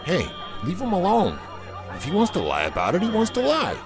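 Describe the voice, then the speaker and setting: An actor playing a nervous, gossiping high school boy in an audio drama, slangy and uneasy. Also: wildly swooping pitch, fairly quick, exaggerated voice. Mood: alarmed. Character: wary gossiping male high school student, nervous sidekick. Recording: loud music and crowd behind.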